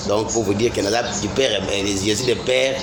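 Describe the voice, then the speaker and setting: A Congolese lawyer being interviewed, speaking in a measured, hesitant way with many fillers. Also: male voice, lowish voice, fairly even intonation. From Congo (Kasai Central). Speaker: lawyer guest. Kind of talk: interview answers. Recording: clear background noise.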